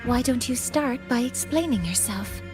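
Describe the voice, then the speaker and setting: A very high, precise voice carries this video game professor played by a woman. She is strict and composed, choosing technical words in a measured, formal manner. Clear music plays behind her matter-of-fact delivery. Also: lively intonation, natural voice. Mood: stern, composed. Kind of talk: scripted game dialogue.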